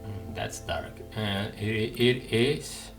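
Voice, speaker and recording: A man's voice: fairly low pitch, takes it slowly. A man doing casual let's play commentary, musing tentatively and thinking aloud as a gaming streamer. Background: game music.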